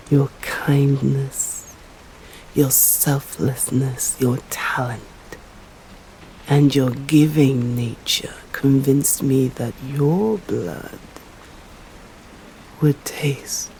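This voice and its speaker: A woman with an English accent voicing an ancient, stern female vampire in an ASMR roleplay, speaking formally in slow, hushed whispers. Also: very deep voice, gravelly, a little hiss or hum, full character voice, poor audio.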